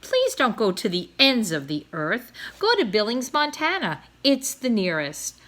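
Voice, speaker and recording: A woman's voice: a little high, huge pitch swings, measured pace, a bit bright, exaggerated voice. A woman voicing a practical, mildly exasperated mother swan in a storybook read-aloud, dry and matter-of-fact.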